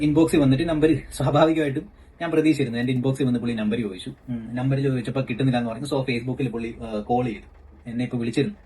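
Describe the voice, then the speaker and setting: Fast-talking, confrontational Kerala man. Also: mid-range voice, darkish tone, slight background noise, poor audio. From Kerala, India. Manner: confrontational, sarcastic. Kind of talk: casual critical commentary and phone argument.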